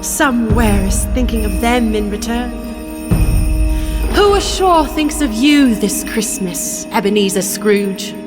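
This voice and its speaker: A woman playing a solemn, stately spirit guide in a radio play, speaking gravely and with measured weight. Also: a little high, expressive, loud music behind the voice.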